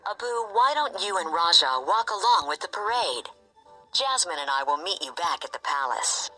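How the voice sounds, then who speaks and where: Lady doing an eager young guy hero from a storybook, bright and expressive, bit of music. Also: on the low side, moderate pace, full character voice, rough recording. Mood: friendly. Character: young male adventurer, eager, friendly, urgent. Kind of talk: read-along storybook acting.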